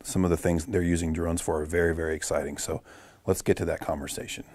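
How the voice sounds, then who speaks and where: A man's voice: sits low, little pitch movement, fast talker, a little mellow, no echo, clean studio sound. A middle-aged man hosting a podcast, upbeat and encouraging, with frequent affirming sounds. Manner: upbeat, many affirming fillers. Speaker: podcast host, department director. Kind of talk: podcast host chat.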